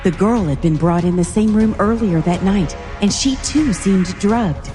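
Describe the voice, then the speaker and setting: A woman's voice: mid-range voice, unhurried, dark tone, faint music behind. A woman reading scripted story narration with suspenseful, dramatic phrasing.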